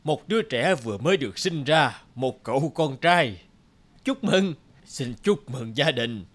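A man's voice: medium pitch, animated, measured pace. A man voicing a wise old village elder. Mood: solemn. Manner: solemn, ceremonial speech. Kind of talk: cartoon voice acting. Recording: voice over loud music.

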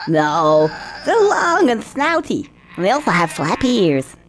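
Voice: medium pitch, sing-song, takes it slowly, slight background noise, poor audio. An actor voicing a sly, wisecracking squirrel in a cartoon video game, with sarcastic, exaggerated delivery. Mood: sly bluffing. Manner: sarcastic, bluffing, exaggerated intonation.

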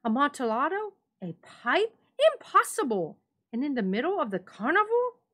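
A boastful, impatient nobleman in his cups, played by a woman reading a story. The voice jumps up high and loud, with emphatic exclamations in a theatrical storybook manner. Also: very animated, moderate pace. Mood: incredulous, excited.

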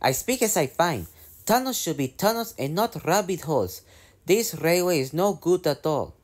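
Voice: medium pitch, expressive, moderate pace, voice pushed up, natural voice. A narrator voicing Duncan, a cross, blunt male engine who grumbles rudely. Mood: grumpy.

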